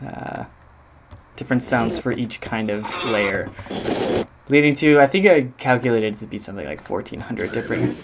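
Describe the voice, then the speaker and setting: A man's voice: medium pitch, expressive, normal speed, vocal fry. Hesitant man presenting, with some background noise. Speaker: game audio designer presenting own project. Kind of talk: informal walkthrough narration of a demo.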